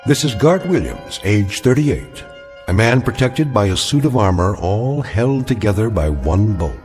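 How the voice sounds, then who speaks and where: An actor as a grave, ominous storyteller, narrating a radio drama in a deliberate, portentous manner. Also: male voice, fairly low pitch, expressive, a little mellow, soft background music.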